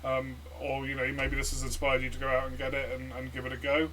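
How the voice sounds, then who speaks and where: A man's voice: mid-range voice, monotone, fast, sharp tone, a little hiss or hum. Man speaking from a distance. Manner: hesitant, with fillers. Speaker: co-host. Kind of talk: casual review chat.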